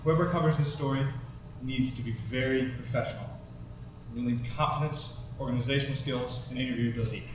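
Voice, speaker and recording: A brisk, businesslike male editor played by an actor speaks in a medium-pitched voice. He sounds matter-of-fact and natural, set far from the microphone in a heavily echoing room. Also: steady tone, natural voice. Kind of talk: naturalistic film acting. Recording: very echoey, distant microphone, faint noise.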